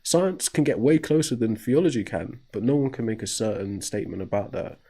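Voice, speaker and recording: A man's voice: mid-range voice, brisk, dark tone, slightly rough. A man hosting a call-in talk show, debating a caller and cutting in with rhetorical questions. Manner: argumentative, cuts in with rhetorical "right?".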